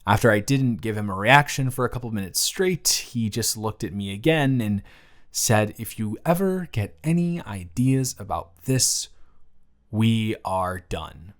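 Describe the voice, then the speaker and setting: A man's voice: lowish voice, lively intonation, unhurried. A man playing an earnest, easily flustered young man in love, in a conversational, emotive romantic audio roleplay addressed to the listener. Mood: tense, guarded. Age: young adult. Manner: conversational, emotive, swinging from hushed to exasperated.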